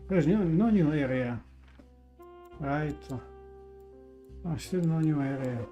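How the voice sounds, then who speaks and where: Slow-paced, hesitant male game streamer. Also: fairly high pitch, warm tone, muffled recording. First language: not English. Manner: hesitant, thinking aloud. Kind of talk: casual live gameplay commentary. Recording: clear game music behind. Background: game music.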